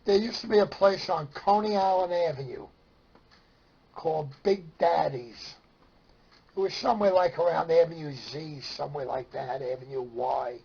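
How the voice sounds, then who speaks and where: A middle-aged man with a Brooklyn New York accent and a husky, gravelly voice, casually reminiscing in a plainspoken, meandering way. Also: a little high, moderate pace, darkish tone, dull audio. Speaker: storyteller sharing memories of CB radio.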